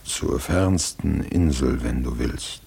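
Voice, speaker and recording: Old king in 50s radio drama, slow gravelly rumble, grave and solemn. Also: warm tone, heavily acted. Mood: secretive, uneasy. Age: older. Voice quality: gravelly, husky. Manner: ponderous, solemn. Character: drunken old king, grave, brooding, ponderous host. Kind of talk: 1950s German radio drama acting.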